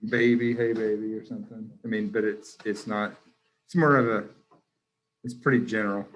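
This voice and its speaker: A man's voice: on the low side, steady tone, normal speed. A man teaching a class over a video call, joking and teasing his students, with many restarts.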